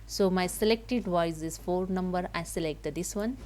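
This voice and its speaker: A woman giving a step-by-step software tutorial in halting, simple non-native English, with noise behind her. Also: on the low side. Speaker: tutorial host. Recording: noticeable background noise.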